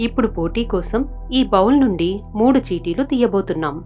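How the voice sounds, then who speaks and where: A woman voicing a brisk, businesslike female contest organizer in a cartoon, firm and instructing. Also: medium pitch, expressive, lo-fi sound, soft background music, voice pushed down, lightly acted. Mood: announcing.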